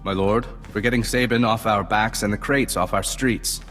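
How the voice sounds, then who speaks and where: A man's voice: on the low side, fairly even intonation, moderate pace, fairly bright tone, soft background music, natural voice. An actor playing a humble, grateful street dweller in a video game, formally addressing his lord. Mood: reverent.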